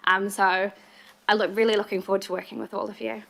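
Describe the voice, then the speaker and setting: A New Zealand woman with a bright, forward voice introduces herself to a committee. Her delivery is friendly, with the odd stumble and restart. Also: medium pitch, flat delivery, fast, vocal fry. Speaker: corporate communications specialist. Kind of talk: brief self-introduction.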